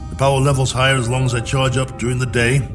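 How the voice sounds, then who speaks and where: Casting: male actor as a stranded spaceship colonel in sci-fi drama, weary and wry, measured delivery, loud score underneath. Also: medium pitch, little pitch movement, music in the background, lightly acted. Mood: weary, reflective. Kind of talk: dramatic acting in a science-fiction drama.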